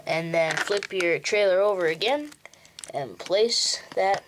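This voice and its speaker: A man's voice: high voice, background noise. A young male host giving a plain, step-by-step walkthrough for building a toy model. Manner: slow, plain instructional walkthrough. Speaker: tutorial host.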